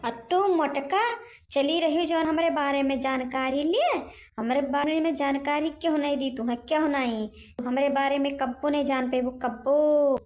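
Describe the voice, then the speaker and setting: A woman's voice: high voice, fairly even intonation, moderate pace, darkish tone, natural voice. A woman voicing a bullying witch ghost in a cartoon comedy, threatening and taunting in an Awadhi accent. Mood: menacing. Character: bullying witch ghost claiming her haunted mansion.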